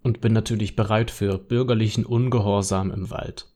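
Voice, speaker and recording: A man's voice: on the low side, fairly even intonation, normal speed, dark tone, close to an everyday voice. A man reading as a worried, defiant social media user in a radio collage, arguing in a conversational way. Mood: critical, defiant. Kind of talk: scripted radio collage reading of online posts.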